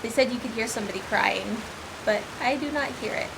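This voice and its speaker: A woman's voice: a little high, fairly quick, gravelly, noisy background. A woman giving casual, playful playthrough commentary as a gaming streamer, with little sing-song asides.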